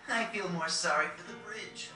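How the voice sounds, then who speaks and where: An actor playing a haughty, callous young lord in a fantasy video game, with a disdainful, sneering delivery. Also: male voice, sits high, moderate pace, pushed and bright, faint music behind. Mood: mocking disdain. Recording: echoey, noisy distant capture off a TV.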